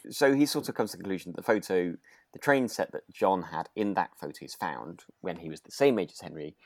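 A man's voice: low voice, animated, a little fast, dry recording. A man co-hosting a podcast, chatting casually and agreeably in a rambling, hesitant way. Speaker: podcast co-host. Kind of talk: relaxed casual discussion of a radio drama.

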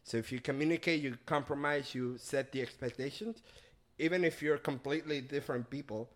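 A man from Venezuela, a podcast guest speaking in earnest, reflective, halting casual chat. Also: mid-range voice.

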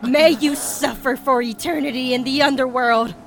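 A woman's voice: high voice, fairly even intonation, normal speed, creaky, music in the background. A woman playing a fierce, protective, vengeful mermaid sister in an audio drama, with an imperious, threatening delivery. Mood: enraged, vengeful.

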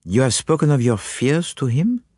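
A man's voice: medium pitch, big pitch swings, moderate pace, a little mellow, slightly rough, no room sound, clean studio sound, voice pushed up. A man reading an audiobook, voicing a fussy, courteous foreign male detective who speaks precisely and drops French phrases. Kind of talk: audiobook character voice.

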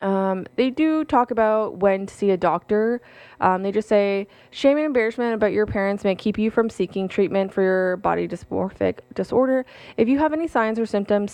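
A young adult woman talks on her own show, mixing read-aloud passages with candid personal reflection. Her voice is smooth and clear. It is recorded close and dry, with no room sound. She fills pauses with ums and corrects herself mid-sentence. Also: mid-range voice, a little mellow, dry recording. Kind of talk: casual solo talk with read-aloud passages.

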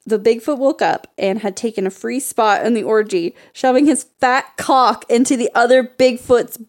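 A woman's voice: fairly high pitch, normal speed, darkish tone. A woman co-hosting a podcast, reading a racy story aloud and breaking off for giggly, profane banter. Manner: giggly, profane, reads then riffs. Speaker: podcast co-host reading stories.

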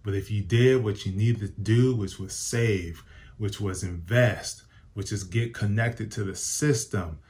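A man's voice: lowish voice, measured pace, a little mellow. A man hosting a personal finance show, giving casual advice in an upbeat, emphatic way and often repeating or correcting himself. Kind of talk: casual advice talk to camera.

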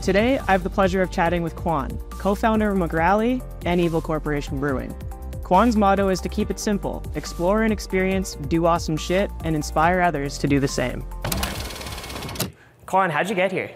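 Podcast host: man, fairly high voice, upbeat informal scripted intro, music underneath. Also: moderate pace. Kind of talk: scripted show introduction and interviewing.

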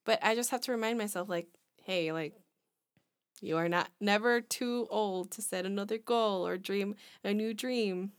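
A woman in her twenties in casual chat on a podcast, talking in a rambling, halting way with many restarts. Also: mid-range voice, no echo, studio quality. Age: 20s.

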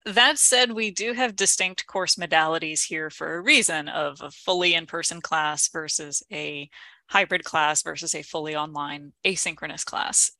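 A woman speaking as co-host of an educational podcast, explaining in an articulate, measured, conversational way. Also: on the low side, sharp tone, no room sound. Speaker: podcast co-host, instructional designer.

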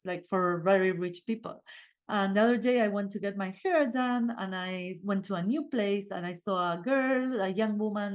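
Chatty woman, emphatic and informal. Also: mid-range voice. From Argentina. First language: Spanish. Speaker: podcast host. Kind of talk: casual personal monologue with life advice.

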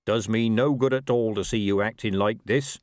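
A man's voice: on the low side, moderate pace, no room sound, clean studio sound, lightly acted. A man voicing a blunt dwarf watchman in an audiobook, teasing with mock sorrow. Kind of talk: audiobook character voice.

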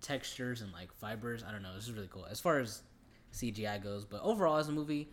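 A man's voice: on the low side, quick pace. Laid-back young man, noisy recording. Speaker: podcast co-host. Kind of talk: casual film review chat.

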